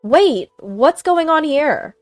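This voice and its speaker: Villainous young mother for audio story, played by a woman narrator: shrill, raised, defiant, desperate. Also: expressive, takes it slowly, no room sound, soft background music. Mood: angry, desperate. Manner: shrill, shouting, indignant.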